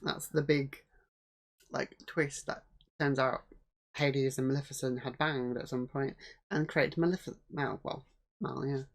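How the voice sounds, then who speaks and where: A man co-hosting a casual film review podcast, speaking quietly and often answering with short agreeing replies. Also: mid-range voice, steady tone, measured pace, vocal fry. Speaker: podcast co-host.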